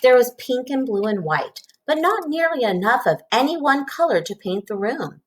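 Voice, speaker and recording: A woman reading a children's storybook aloud in an expressive narrating style. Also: sits high, normal speed. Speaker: storybook reader.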